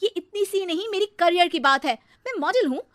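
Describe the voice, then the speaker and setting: A woman's voice: very high-pitched, lively intonation, moderate pace, a bit bright, no room sound, voice pushed up. A woman voicing a spoiled, haughty young married model in a cartoon story, snappy and whining, dropping in English phrases. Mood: angry, scornful. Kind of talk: cartoon moral-story dialogue.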